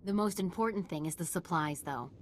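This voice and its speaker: Woman playing a sardonic lower-voiced quartermaster. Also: brisk, a little hiss or hum, natural voice. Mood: earnest, resentful. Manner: sarcastic, clipped, matter-of-fact. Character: sardonic, resentful female military quartermaster.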